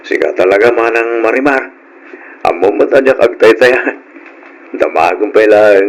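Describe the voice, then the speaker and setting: An actor playing a troubled, placating working husband in an Ilocano radio drama, on a noisy old recording. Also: male voice, fairly low pitch, lively intonation, a little slow. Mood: friendly.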